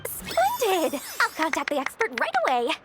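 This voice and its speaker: A woman's voice: very high-pitched, huge pitch swings, normal speed, over loud music, heavily acted. A woman playing a small, greedy female merchant in a video game, with theatrical, ingratiating sales talk. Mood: gleeful.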